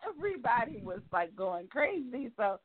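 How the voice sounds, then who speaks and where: A woman's voice: fairly high pitch, sing-song, moderate pace, husky. A woman from South Carolina hosts a talk show, enthusiastic and chatty as she asks her questions. Her voice comes through a narrow, phone-like line. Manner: enthusiastic, laughs often. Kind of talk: casual interview chat.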